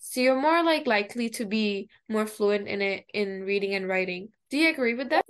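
A woman's voice: medium pitch, animated, normal speed, clean tone. A young woman from Pakistan, a native Urdu speaker, co-hosting a radio show in a chatty, informal way. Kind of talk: casual radio chat.

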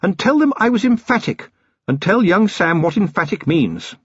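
A man performs an aristocratic middle-aged wife for an audiobook. The voice is high and husky, with lively swings of pitch. She is polite but forceful and emphatic, with brisk affection. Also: dry recording, muffled recording. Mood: brisk, bossy. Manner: emphatic, polite, upper-class phrasing. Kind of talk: audiobook character voice.

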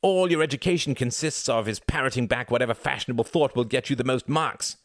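A man's voice: quick pace, no room sound, clean studio sound, natural voice. A man reading as an arrogant, sneering upper-class young man, indignant and haughty. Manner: haughty, indignant, rising shrill.

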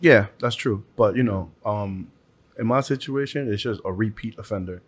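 A man's voice: lowish voice, moderate pace, breathy edge. Laid-back man co-hosting a podcast. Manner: laid-back slang, frequent laughs and fillers. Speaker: podcast co-host. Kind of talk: casual podcast chat.

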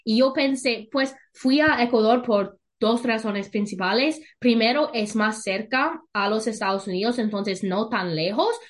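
A woman's voice: a little high, measured pace, hardly any pauses. A young woman whose first language is English, hosting a casual podcast chat in Spanish, often hesitating and restarting. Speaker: podcast host.

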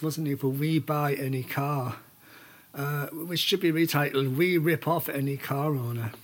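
An older man chatting casually as a solo podcast host, hesitant and digressive, with wry, opinionated asides. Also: a little high. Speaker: podcast host and amateur musician.

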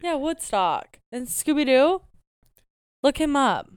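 A woman co-hosting a podcast in casual chat, with a loud, booming voice and a teasing, animated way of talking full of fillers. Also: takes it slowly, dry recording, clean studio sound. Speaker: podcast co-host.

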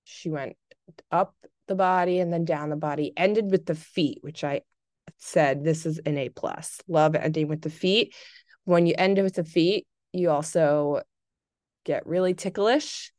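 Smooth, low-voiced young woman telling stories. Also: measured pace, darkish tone, no room sound, studio quality. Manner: chatty, exaggerating for comic effect. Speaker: podcast host. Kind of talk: casual personal storytelling.

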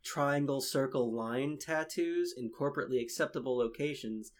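A man's voice: medium pitch, normal speed. A man in a casual tabletop game podcast, hesitantly describing his character with many fillers. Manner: hesitant, full of uh and um.

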